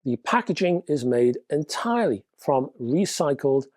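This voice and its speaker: A man's voice: fairly high pitch, big pitch swings, a little slow, a little mellow, dry recording, studio quality. A man hosting a hi-fi news roundup, reading out product details in a casual, chatty way with offhand asides. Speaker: host.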